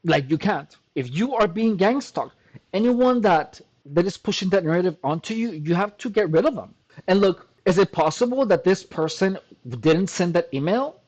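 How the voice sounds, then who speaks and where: A man with a fairly high-pitched voice talks straight to his audience in a casual monologue. He is emphatic and keeps circling back, often breaking in with "look" and starting his sentences over. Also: expressive, moderate pace, a little mellow, low-quality recording. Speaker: channel host. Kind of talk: casual talking-to-camera monologue.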